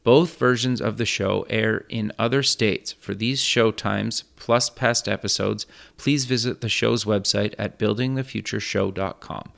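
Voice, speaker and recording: A man in his thirties hosting an interview show, reading a promo and then asking casual, halting questions. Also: lowish voice, fairly even intonation, vocal fry, a bit bright. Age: 30s. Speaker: host. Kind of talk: podcast interview.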